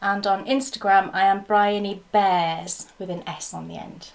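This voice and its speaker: Woman, co-host, chatty, storytelling, laughs easily, medium pitch, home chat. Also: steady tone, a little slow, creaky. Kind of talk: casual chat.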